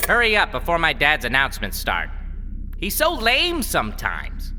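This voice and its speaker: A man's voice: on the high side, wildly swooping pitch, moderate pace, bright, forceful tone, slight background noise, exaggerated voice. A man playing a petulant, resentful alien evil overlord, whining melodramatically about his father in a comic sci-fi audio drama. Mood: exasperated. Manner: whiny, melodramatic.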